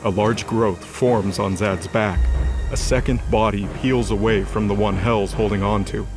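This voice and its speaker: Male narrator for fantasy audio drama, low voice, scripted dramatic read over music. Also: steady tone, a little slow. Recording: music bed behind voice.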